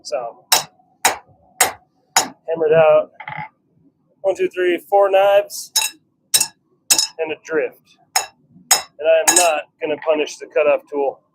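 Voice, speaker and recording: A man chatting casually on a live stream, thinking out loud as he works in his workshop. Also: on the high side, fairly even intonation, takes it slowly, long pauses, very noisy. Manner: chatty, thinking out loud while working at the forge. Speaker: livestream host, hobby blacksmith. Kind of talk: casual live-stream chat while working. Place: home forge workshop.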